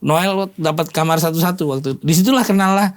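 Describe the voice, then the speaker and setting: A man's voice: high-pitched, quick pace. A man in his forties chatting casually as a guest, telling stories in an interview. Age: 40s. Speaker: podcast guest, political volunteer and former journalist.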